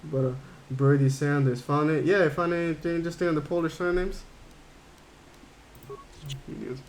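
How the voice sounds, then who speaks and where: A man's voice: a little high, fairly even intonation, moderate pace, slight background noise, rough recording. A man from Curaçao streaming a game, chatting casually and answering his chat in a rambling way. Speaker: gaming streamer.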